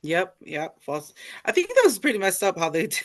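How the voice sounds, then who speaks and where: A woman's voice: fairly low pitch, huge pitch swings, no echo. Young college woman, lots of uh and you know, dry close sound. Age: young adult. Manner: halting, fills with "uh" and "you know". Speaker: college student.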